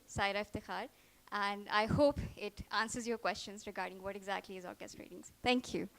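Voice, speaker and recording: A woman's voice: on the high side, a bit bright. A woman chattily introducing a live show as its presenter.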